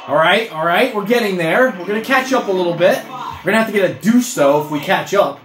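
Young male gamer, very animated pitch, cursing and shouting, roomy echo. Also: a little fast, a little hiss or hum. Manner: frustrated outbursts, cursing and yelling at the game. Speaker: gaming video creator. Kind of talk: casual gameplay commentary. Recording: roomy echo with faint game music.

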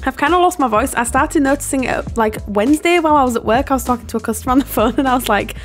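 A woman whose voice has gone hoarse talks in a casual, rambling voiceover and keeps apologising with little laughs. Her voice sits fairly high. Faint music plays underneath. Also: very fast. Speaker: game builder and video host. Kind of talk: casual chatty voiceover over a speed build. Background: soft background music.

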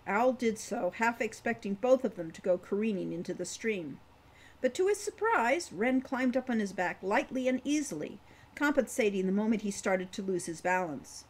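An author in her sixties reads her fantasy story aloud. She is a woman with a slightly husky, mid-pitched voice and a careful, measured delivery. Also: expressive. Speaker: author reading her novel. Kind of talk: audiobook-style story narration. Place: room at home.

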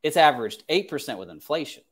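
A man's voice: a little high, sing-song, fast talker, breathy edge. A man in his thirties hosting an advice livestream as a financial advisor, speaking emphatically and repeating phrases for stress. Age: 30s. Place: small indoor home office.

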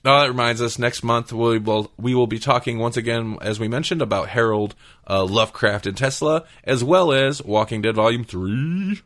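A man's voice: mid-range voice, normal speed, few pauses, a bit bright, dry recording. A man hosting a podcast in casual chat, with a joking, rambling manner. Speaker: podcast host.

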